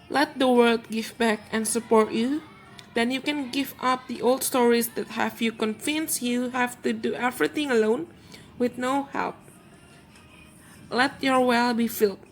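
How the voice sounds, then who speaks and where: Gentle woman card reader. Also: on the high side, steady tone, measured pace, long pauses, soft background music, poor audio. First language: Indonesian. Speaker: tarot and oracle card reader. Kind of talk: channeled card reading for viewers.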